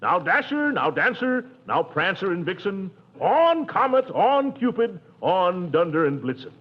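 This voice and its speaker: An actor playing Gildersleeve, a pompous, jovial middle-aged uncle, in a 1940s radio comedy, with a blustery, chuckling manner. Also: male voice, high-pitched, sing-song, measured pace, heavily acted. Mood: declaiming. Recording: dull old radio broadcast.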